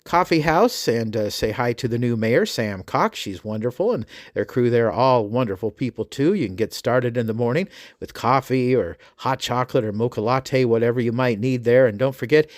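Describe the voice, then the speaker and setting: A man's voice: medium pitch, normal speed, few pauses, no echo, studio quality. An American man hosting a podcast in a folksy, chatty way, reading sponsor messages and interviewing guests. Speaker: podcast host. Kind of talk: sponsor reads and conversational interviewing.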